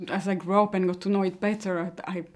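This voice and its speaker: A middle-aged woman who grew up in Italy, giving a personal account in a hesitant, halting way. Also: fairly low pitch, little pitch movement. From born in Mexico, grew up in Italy. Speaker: interviewee giving personal testimony.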